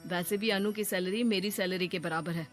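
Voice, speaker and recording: Cartoon casting: woman as sincere young male suitor, gentle, pleading, pitched down, soft music underneath. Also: fairly even intonation, moderate pace, natural voice. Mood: reassuring. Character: smitten gentle earnest young man suitor. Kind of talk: comic Hindi cartoon dialogue.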